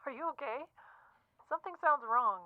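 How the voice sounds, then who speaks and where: Tinny-phone, pushy literary agent. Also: female voice, high voice, lively intonation, normal speed. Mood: eager, teasing. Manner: breezy, slangy, cajoling. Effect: telephone filter. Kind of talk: audio drama acting, chatty phone-call delivery. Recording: tinny, narrow phone-line sound.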